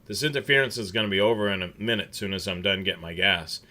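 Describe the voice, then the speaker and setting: Guy hosting a chill stream, lots of ums and restarts, kinda low, bright tone. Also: fast talker. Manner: rambling with many fillers and restarts. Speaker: scanner show host. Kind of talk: casual livestream chat.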